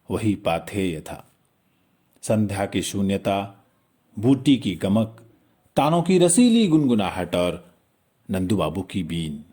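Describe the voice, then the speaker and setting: A man narrating a literary story expressively, with measured, dramatic phrasing and pauses. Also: sits low, dark tone, slightly rough.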